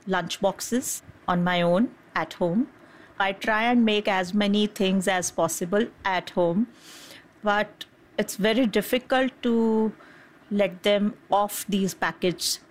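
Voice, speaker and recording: A woman's voice: mid-range voice, low-quality recording. A woman doctor and mother answering interview questions in an earnest, plain-spoken way with some hesitations.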